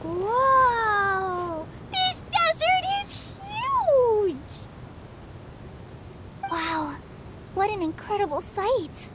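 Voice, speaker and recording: Small innocent girl for anime game: bubbly, childish, very high and animated. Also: very slow, pauses often, noisy background, exaggerated voice. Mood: excited. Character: cheerful, innocent, excitable little girl adventurer. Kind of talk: anime video game voice acting.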